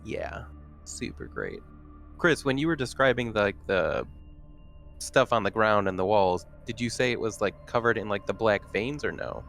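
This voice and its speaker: Lower-voiced man at a game table. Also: animated, normal speed, gravelly, fairly bright tone, soft background music. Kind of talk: casual table talk.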